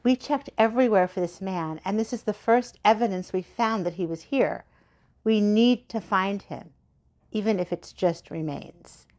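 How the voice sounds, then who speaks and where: A woman's voice: mid-range voice, moderate pace, a little mellow, lightly acted. Actress as a firm, businesslike woman investigator. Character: determined woman investigator seeking missing people. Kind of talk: radio drama acting.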